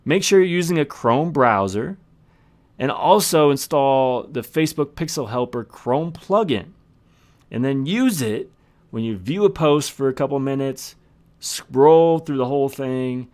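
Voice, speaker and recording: A man's voice: mid-range voice, a little mellow. A man hosting a podcast, explaining tips in a scripted monologue with emphatic, short punchy phrases. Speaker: podcast host.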